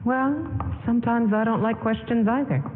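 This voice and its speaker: An actress playing a gentle, caring young woman in a 1940s-style radio drama, tentative and concerned. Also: fairly high pitch, steady tone, normal speed, close to an everyday voice. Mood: tender, wistful. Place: stage of a large hall. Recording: muffled, noisy live hall radio broadcast.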